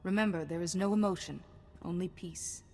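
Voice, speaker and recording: A calm low-voiced Jedi. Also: female voice, normal speed, long reverb tail. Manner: composed, steady, principled. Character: calm, disciplined female Jedi commander. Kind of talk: video game cutscene acting.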